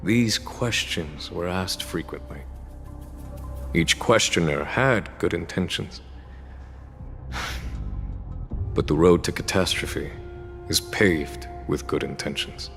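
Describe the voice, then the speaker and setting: An actor playing a composed, wise military general who explains matters in a measured, reflective way, in a game cutscene. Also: male voice, low voice, vocal fry, a bit bright, full character voice. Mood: calm, explaining. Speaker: army general. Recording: reverb with background music.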